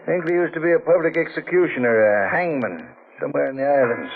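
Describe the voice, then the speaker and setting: A man's voice: on the high side, animated, fast talker, gravelly, phone-quality sound. An actor playing a wary older boatman with a folksy, ominous manner, in a 1940s radio drama. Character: wary older boatman warning a young customer. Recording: noisy band-limited old radio recording.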